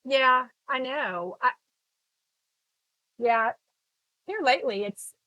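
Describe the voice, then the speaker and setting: Texan lady chatting thru a live paint stream, kinda high voice, dry sound, rambles and says hi to folks. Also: slow, pushed and bright, dry recording, lo-fi sound. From Texas. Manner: chatty, rambling, greets viewers by name. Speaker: art instructor live-streaming. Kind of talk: casual live painting tutorial chat. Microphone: phone camera.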